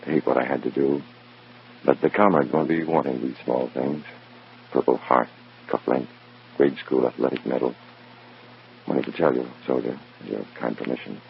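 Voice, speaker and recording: A very deep, weary undercover agent. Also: male voice, fairly even intonation, normal speed, gravelly, pauses often, slight background noise. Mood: somber, apologetic. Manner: brooding, clipped, hard-boiled delivery. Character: weary, conscience-torn American undercover agent posing as a communist. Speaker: narrator and lead actor. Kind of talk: mid-century radio drama acting, first-person narration. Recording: muffled old radio recording with music.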